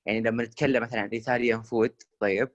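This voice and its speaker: Rapid-fire young Saudi man, dry sound. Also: mid-range voice, flat delivery, no echo, dull audio. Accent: Saudi Arabic. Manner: fast code-switching between Arabic and English, many fillers. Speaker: student guest asking a question. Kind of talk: casual Q&A on a video call. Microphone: video call.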